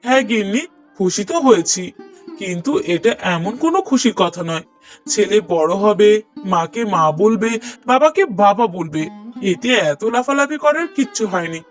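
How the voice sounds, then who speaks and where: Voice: medium pitch, lively intonation, moderate pace, faint music behind, close to an everyday voice. A performer voicing a brooding, sarcastic husband and father in a moral-story cartoon, with pointed rhetorical questioning. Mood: unimpressed.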